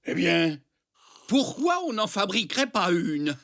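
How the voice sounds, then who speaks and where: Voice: mid-range voice, very animated, measured pace, gravelly, a bit bright, heavily acted. An actor voicing a kindly, enthusiastic old zebra grandpa in a French preschool cartoon, jovial and exclamatory. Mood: jovial, nostalgic.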